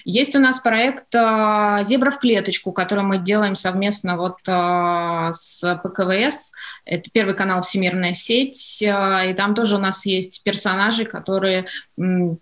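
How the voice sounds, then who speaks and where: A woman answering interview questions as an executive, explaining in a measured, businesslike way with hesitant pauses mid-phrase. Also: mid-range voice, steady tone, a bit bright. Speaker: studio executive, interview guest.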